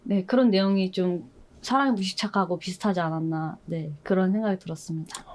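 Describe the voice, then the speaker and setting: A woman's voice: fairly low pitch, brisk, noisy background. A woman chatting casually as a panelist on a podcast. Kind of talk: casual chat.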